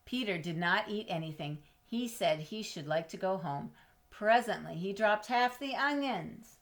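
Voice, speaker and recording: A woman reading a children's storybook aloud expressively, with dramatic pauses, drawn-out words and questions to her young listeners. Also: mid-range voice, expressive. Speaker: storybook reader.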